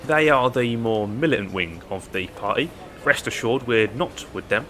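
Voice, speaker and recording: A man playing an earnest, polite activist with a gravelly voice in a sci-fi audio drama. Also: mid-range voice, animated, moderate pace, sharp tone. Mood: reassuring. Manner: polite, explanatory. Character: earnest, soft-sell male android-rights activist.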